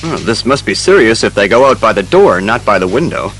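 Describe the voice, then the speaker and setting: A man's voice: lowish voice, very animated, a little fast, fairly bright tone, faint music behind, rough recording. A man playing an earnest, puzzled police commissioner, questioning and official, in a superhero audio drama.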